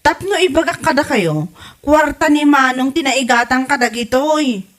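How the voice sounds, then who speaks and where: Woman playing a high-voiced exasperated daughter-in-law. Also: animated, normal speed. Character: exasperated, kind-hearted young daughter-in-law. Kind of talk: comedy radio drama acting.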